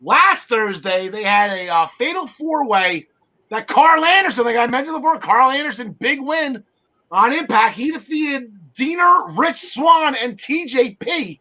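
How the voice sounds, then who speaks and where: A man hosting a wrestling panel show, recapping events with animated, emphatic delivery on slightly muffled audio. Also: extremely high pitch, measured pace. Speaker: podcast host.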